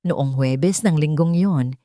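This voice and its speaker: A woman narrates a dramatic tale in a low, mellow voice. The sound is dry and close, with a scripted storytelling delivery. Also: a little slow, no echo. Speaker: narrator of romantic drama stories.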